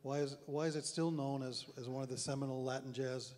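A man's voice: medium pitch, steady tone, a little fast, darkish tone. A middle-aged man hosting an interview on a concert hall stage, affable and self-deprecating, asking long questions into a handheld mic. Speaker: onstage interviewer, host. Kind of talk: onstage interview.

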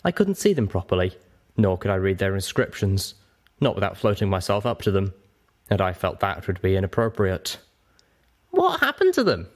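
Low-voiced man narrating a story expressively. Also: animated, fairly quick, darkish tone. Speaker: audiobook reader.

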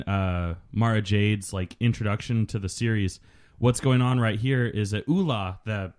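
A man's voice: fairly low pitch, darkish tone. A man co-hosting a casual movie commentary, loose and joking, often trailing off mid-sentence. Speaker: podcast co-host.

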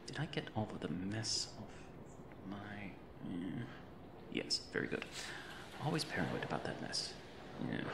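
In an echoing space over noisy ambience, a man acts out an eccentric mad reanimator for an ASMR horror roleplay. The male voice is gravelly and swoops widely, theatrical and fussily polite. He is a creepy, cheerful captor who rambles off on tangents. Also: low voice, heavily acted. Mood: politely macabre. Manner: theatrical, digressive, politely fussy, macabre. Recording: roomy echo with noisy ambient backdrop.

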